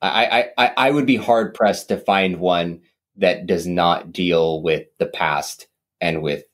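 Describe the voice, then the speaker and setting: A very deep-voiced man holds forth on a horror film in relaxed podcast chat. He rambles, doubles back on himself, and fills his sentences with "like" and "um.". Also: slow pace. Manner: animated, rambling, mimics voices with big pitch jumps. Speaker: podcast co-host. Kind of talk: casual movie discussion.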